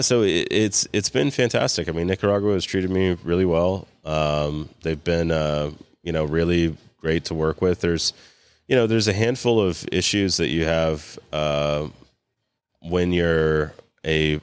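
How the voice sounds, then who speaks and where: A man with a strikingly low, smooth, resonant voice. He talks loosely, full of fillers and restarts, with frequent chuckles. He sounds like a guest in a casual sit-down interview. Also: dry recording. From California. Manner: rambling, many fillers and restarts, chuckles often. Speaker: guest, cigar company co-founder.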